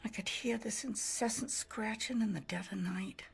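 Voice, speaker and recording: An actress playing a weary, haunted older American widow in a game cutscene, telling her story wistfully in a husky voice that often drops to a breathy hush. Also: medium pitch, full character voice. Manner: slow-burning, wistful storytelling. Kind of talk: dramatic game cutscene monologue.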